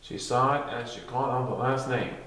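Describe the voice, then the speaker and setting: A man's voice: mid-range voice, steady tone, brisk, fairly bright tone. A man reads a children's picture book aloud, sometimes stumbling over words and going back over them. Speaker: story reader. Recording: very echoey, reverberant room.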